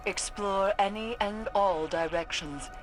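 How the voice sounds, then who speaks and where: An actress playing a solemn, knowing mystic in a video game, speaking in formal, cryptic, measured phrases. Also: female voice, mid-range voice, music in the background, lightly acted, rough recording. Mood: grave, cryptic. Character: solemn, knowing woman mystic who guides with dark lore. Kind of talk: scripted video game dialogue.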